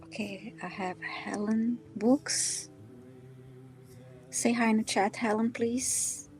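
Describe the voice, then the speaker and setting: A woman co-hosting a live stream in casual chat, with a hesitant, halting way of talking. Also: fairly high pitch, fairly even intonation, a little mellow, faint music behind, rough recording.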